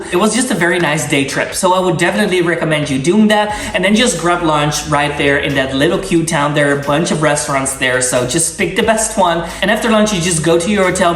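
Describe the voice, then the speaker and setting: A man's voice: on the high side, fairly bright tone, a little hiss or hum. Young Dutch-accented male vlogger, eager and chatty, recorded roomy from a few feet away. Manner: enthusiastic, gushing, with many fillers and restarts. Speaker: travel vlogger. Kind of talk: casual travel-guide talk. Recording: roomy sound, mic at a distance.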